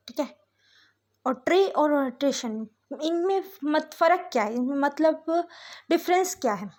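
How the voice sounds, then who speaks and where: A woman with an Indian accent and a high-pitched voice delivers a lesson to students. She explains point by point as a teacher does, moving between Hindi and English. She often stops briefly to check that her listeners are following. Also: darkish tone.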